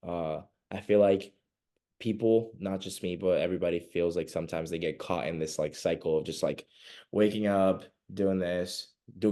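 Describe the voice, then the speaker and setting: A man's voice: low voice, mellow. A young man from Venezuela, a podcast guest chatting casually and rambling with lots of fillers. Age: young adult. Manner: rambling, many fillers and laughs. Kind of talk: casual interview chat.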